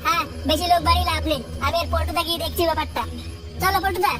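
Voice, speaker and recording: A performer voicing a cheeky, whiny young village boy, a comic cartoon sidekick, in a squeaky, excitable way. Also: very fast. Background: loud music.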